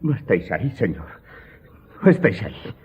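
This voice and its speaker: A man's voice: on the low side, big pitch swings, brisk, slightly rough, heavily acted. An actor playing a frightened, evasive steward in an audio drama, stammering and pleading with his master. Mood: terrified. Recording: muffled, band-limited audio with faint music.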